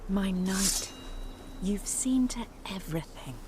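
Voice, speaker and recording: An actress playing a devoted young noblewoman bride in a fantasy game, gentle, romantic and formal. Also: mid-range voice, animated, slow pace, heavy background noise. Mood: tender. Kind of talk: dramatic video game acting.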